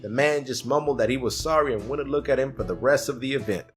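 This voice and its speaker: A man narrating a short anecdote in an animated storytime style. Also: medium pitch, fast talker, faint music behind.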